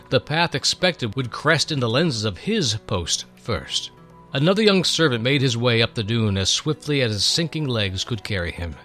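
Animated male storyteller over music. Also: medium pitch. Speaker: host and storyteller. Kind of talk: dramatic scripted narration.

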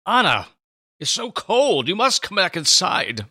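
Man playing an anxious, protective old man, pitched up. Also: big pitch swings, unhurried, sharp tone, breathy edge, no echo, studio quality, full character voice. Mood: alarmed pleading. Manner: scolding, pleading, protective. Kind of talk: dramatic Bible story reading.

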